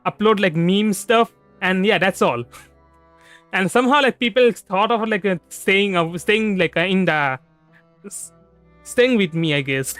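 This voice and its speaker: A male VTuber chats while gaming. His voice is high for a man and his talk rambles with stammered repeats. Soft music plays underneath. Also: unhurried, dry recording. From India. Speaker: VTuber game streamer. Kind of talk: casual livestream chat over gameplay. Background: game music and dialogue.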